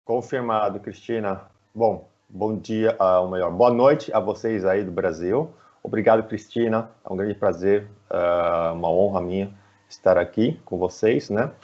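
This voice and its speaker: Brazilian guy being interviewed, lowish voice, stops and starts a lot, muffled call audio. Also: darkish tone. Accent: Brazilian Portuguese. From São Paulo, Brazil. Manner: halting, frequently tagging phrases with "né". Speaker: interview guest. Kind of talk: conversational explanation in a live interview. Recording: dull, lo-fi online call audio.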